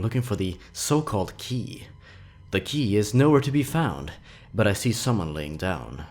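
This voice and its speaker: Low-pitched man narrating horror with dramatic swings. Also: a little mellow. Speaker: horror story narrator and channel host. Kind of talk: dramatic scripted narration of creepy stories.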